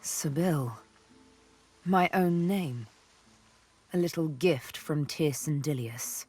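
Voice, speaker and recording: A woman's voice: low voice, slow pace, dark tone, slightly rough, full character voice. A woman voicing a sardonic, vengeful elf huntress for a video game, with arch, teasing, theatrical phrasing. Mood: sardonic, grave. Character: sardonic, vengeful female elf, former slave turned huntress. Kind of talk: video game character dialogue. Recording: background music under the voice.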